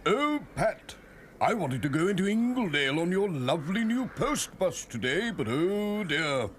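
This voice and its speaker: Man acting dithering British vicar, animated pitch. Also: a little slow, a little hiss or hum, exaggerated voice. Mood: muddled, fretful. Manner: dithering, fretful, genteel. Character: absent-minded, genteel older male country vicar. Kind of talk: children's cartoon character voice.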